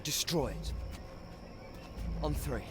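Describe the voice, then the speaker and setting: Earnest teenage wizard boy, loud music. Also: medium pitch, animated, slow pace. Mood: resolute. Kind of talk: video game dramatic acting.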